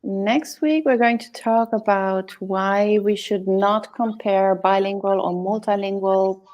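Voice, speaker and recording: A woman co-hosting a casual live chat about raising multilingual children, speaking in a measured, thoughtful way. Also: medium pitch, lo-fi sound.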